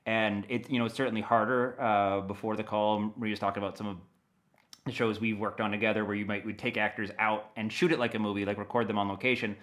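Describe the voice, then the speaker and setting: A middle-aged man with an American accent and a bright, forward voice. He talks in a casual interview style, explaining as he goes and often circling back to rephrase his thought. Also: fairly low pitch, little pitch movement, fast. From Maine, USA. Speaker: interview guest, audio dramatist.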